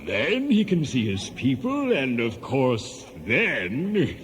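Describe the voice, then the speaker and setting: Slow, swooping, dithering old god king. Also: medium pitch, huge pitch swings, exaggerated voice. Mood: genial, dithering. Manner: dithering, hesitant, halting. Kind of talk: 1970s radio play acting.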